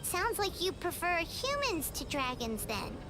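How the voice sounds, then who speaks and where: A woman's voice: very high voice, very animated, unhurried, background noise, exaggerated voice. A woman voicing a tiny, curious, chirpy childlike fairy companion in a video game. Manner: inquisitive, chirpy. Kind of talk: video game character dialogue. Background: prominent game music.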